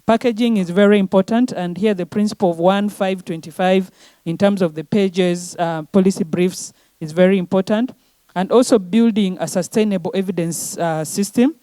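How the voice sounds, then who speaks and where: Woman presenter, earnest and steady, warm mellow tone, dry close sound. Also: mid-range voice, normal speed, no echo. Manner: earnest, steady delivery with frequent restarts. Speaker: conference presenter. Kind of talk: conference presentation.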